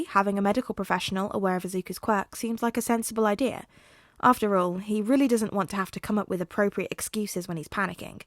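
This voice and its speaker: A woman's voice: mid-range voice, no echo, studio quality. A woman reading a scripted story aloud as its narrator. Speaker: podfic narrator.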